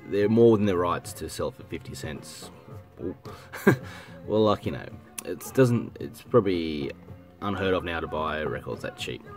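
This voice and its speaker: Deep-voiced Australian man, stuffy with a cold. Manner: rambling, self-correcting, frequent ums and throat clearing. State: congested with a head cold. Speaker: vinyl collecting vlogger. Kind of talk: casual rambling chat to camera. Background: music playing softly.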